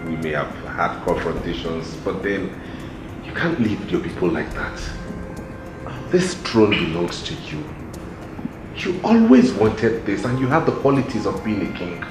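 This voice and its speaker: A man's voice: sits low, sing-song, a little slow, roomy sound, music in the background, poor audio. An actor playing a jealous, pompous royal prince who insults his brother. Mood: pleading. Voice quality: pompous, scornful. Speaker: royal prince in a succession feud. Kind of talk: film drama dialogue.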